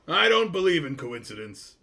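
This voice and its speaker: A man's voice: fairly high pitch, very animated, normal speed, vocal fry, pushed and bright, slightly rough, voice pushed up, heavily acted. A man playing a curt, self-important, skeptical mayor in a sitcom table read, speaking in a formal, clipped manner.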